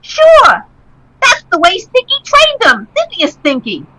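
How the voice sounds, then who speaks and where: A woman's voice: very high-pitched, wildly swooping pitch, measured pace, sharp tone, no echo, exaggerated voice, low-quality recording. A woman playing a brash, eager young street boy with slangy talk in an old-time radio drama. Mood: cocky. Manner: slangy, exclaiming kid talk. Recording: noticeable background noise.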